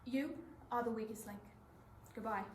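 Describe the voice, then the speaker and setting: A woman's voice: fairly high pitch, steady tone, normal speed, close to an everyday voice. A woman performing in a readers theatre piece with rehearsed, theatrical delivery. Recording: roomy stage recording with background hiss.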